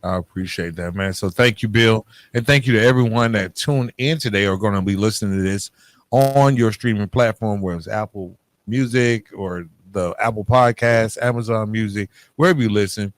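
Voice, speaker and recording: A man's voice: lowish voice, moderate pace, no room sound. A man hosting a podcast in a casual, slangy and encouraging way, speaking conversationally to guest and listeners. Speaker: podcast host. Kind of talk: casual conversational interview and sign-off.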